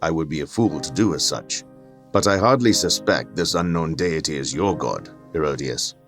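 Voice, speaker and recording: An actor playing a haughty, learned skeptic in an audio drama, formal, condescending and given to lecturing. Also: male voice, a bass voice, expressive, moderate pace, fairly bright tone, faint music behind, full character voice. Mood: earnest, argumentative. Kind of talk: dramatic audio fiction acting.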